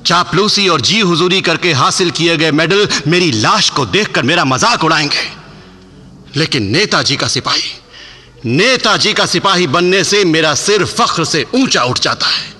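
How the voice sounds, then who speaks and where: तीखा, स्वाभिमानी जवान देशभक्त सिपाही. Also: male voice, on the high side, lively intonation, sharp tone, exaggerated voice. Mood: proud, scornful. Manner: declamatory, impassioned, deliberate. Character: defiant, proud young patriotic Indian soldier, aged thirty-one. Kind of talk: radio drama acting. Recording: echoing hall, music underneath, radio play.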